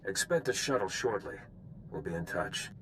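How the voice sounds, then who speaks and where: An actor playing a composed, wry official of a bounty hunt in a video game, measured and businesslike. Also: male voice, deep voice, big pitch swings, background noise. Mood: brisk, wry.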